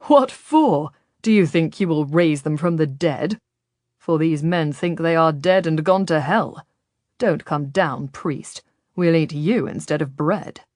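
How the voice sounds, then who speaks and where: A woman's voice: sits low, a little slow, darkish tone, no room sound, clean studio sound. A woman voicing a confident, teasing Ottoman galley commander, a man, in an audiobook story. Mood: mocking. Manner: abrupt, teasing, tempting.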